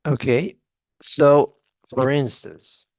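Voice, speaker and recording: A man's voice: mid-range voice, steady tone, extremely slow, no room sound. A male English teacher giving a classroom grammar lesson, often checking in with "okay?" and restarting phrases.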